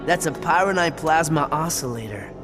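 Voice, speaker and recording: A man's voice: a little high, animated, moderate pace, lightly acted. An actor voicing a cocky, blunt teenage boy who tinkers with cars, in a video game cutscene. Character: cocky teen boy mechanic, guarded and blunt. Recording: game audio with music underneath.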